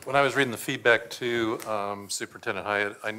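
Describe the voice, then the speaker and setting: A man's voice: lowish voice, steady tone, brisk, pushed and bright, smooth. An older man, a board member seated at the dais, asking a careful, apologetic question into a desk microphone. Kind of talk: asking a question at a public meeting. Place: school board meeting room, seated at the dais.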